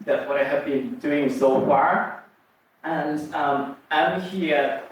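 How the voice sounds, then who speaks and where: A young man with a Thai accent presents his research at an unhurried pace. He hesitates often and fills gaps with ums. His voice carries a heavy echo and sounds distant from the mic, with faint noise underneath. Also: medium pitch. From Thailand. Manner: hesitant, many ums and restarts. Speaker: doctoral student presenter. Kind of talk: academic presentation. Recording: roomy echo from far off.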